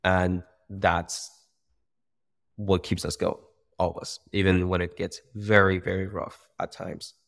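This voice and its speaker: A young man speaks as a guest over a video call. His voice sits very low with a gravelly fry, and he talks in an animated, loose way, full of fillers and self-corrections. He sounds like a startup founder telling his story. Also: measured pace. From Iran. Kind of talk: casual interview. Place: bright room by a window.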